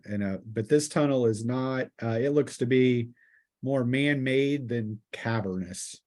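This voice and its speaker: Male game master for a tabletop fantasy session; mid-range voice, improvised narration, thinks aloud with halting pauses. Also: mid-range voice, a little mellow.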